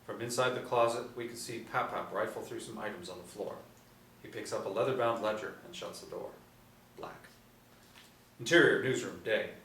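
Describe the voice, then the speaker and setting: A man's voice: on the low side, moderate pace, fairly bright tone. A middle-aged man reading screenplay stage directions aloud as narrator at a table reading. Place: small indoor room. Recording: roomy, distant mic, light room noise.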